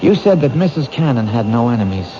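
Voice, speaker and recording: Actor for protective detective, friendly, bit of a stammer, old radio drama. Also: male voice, medium pitch, big pitch swings, fast talker, close to an everyday voice. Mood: probing. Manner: friendly, stammers when nervous. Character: earnest male police detective, protective. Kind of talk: 1970s radio drama acting. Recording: dull old radio broadcast with hiss.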